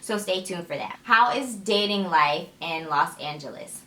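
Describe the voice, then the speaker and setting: Bright, energetic woman vlogger, tired. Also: lowish voice, measured pace. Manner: energetic, chatty, laughs often, sassy asides. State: tired, slightly raspy voice late at night. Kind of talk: casual chat to camera. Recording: echoey room.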